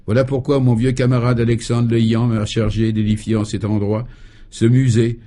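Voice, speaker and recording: Guy doing a dreamy old professor in a radio comedy, soft dark tone, very polite and pompous about nonsense. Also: medium pitch, close to an everyday voice. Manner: courteous, ceremonious, solemnly absurd. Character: dreamy, proud, courteous eccentric old male professor. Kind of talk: French comic radio serial acting.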